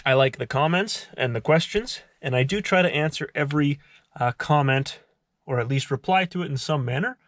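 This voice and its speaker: A man's voice: on the high side, moderate pace, a bit bright, dry recording, clean studio sound. A man narrating a casual hands-on DIY tutorial in a methodical, explanatory way. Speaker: host of a DIY car repair tutorial.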